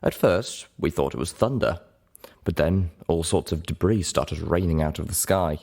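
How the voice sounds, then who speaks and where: A man's voice: sits low, normal speed, vocal fry, darkish tone, breathy edge, full character voice. A man voicing a guarded, thoughtful grey female unicorn telling her tales in a storybook reading. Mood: matter-of-fact, wary.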